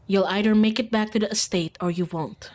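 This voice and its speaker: An ominous storyteller narrates a horror visual novel, read by a woman streamer. The voice is mid-pitched and hushed, sinking softer at the ends of phrases. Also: brisk, lightly acted. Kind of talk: scripted narration.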